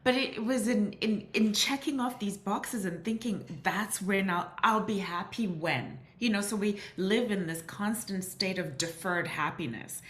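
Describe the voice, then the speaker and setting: A woman's voice: mid-range voice, a little slow, few pauses. A woman from Southern Africa, a guest telling her story in earnest with many restarts. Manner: earnest, with frequent restarts and "you know". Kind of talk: reflective interview storytelling. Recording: roomy echo.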